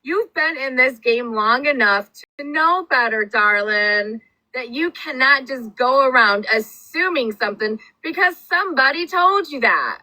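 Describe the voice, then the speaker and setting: A woman in a live-stream chat talks bluntly and sassily and swears freely. Her voice is bright and rises and falls a lot. The sound is dry and close. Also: sits high, lively intonation, a little slow, dry recording, poor audio.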